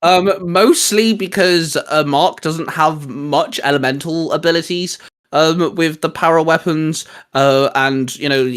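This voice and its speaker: A man's voice: fairly high pitch, fairly even intonation, a little slow, fairly bright tone, clear, resonant tone. A man hosting a casual, geeky discussion, explaining his ideas in a rambling way. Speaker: host.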